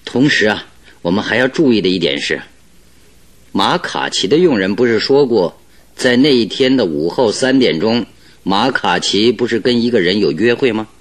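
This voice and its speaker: Composed, deep-voiced detective, animated delivery. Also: male voice, moderate pace. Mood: thoughtful, assured. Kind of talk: Mandarin radio drama acting.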